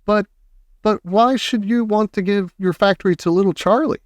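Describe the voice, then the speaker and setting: A man voicing an excitable old grandfather in a storybook, flustered and exclamatory. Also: high-pitched, normal speed, dry recording, studio quality, pitched above the performer's own voice, close to an everyday voice. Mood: incredulous, flustered.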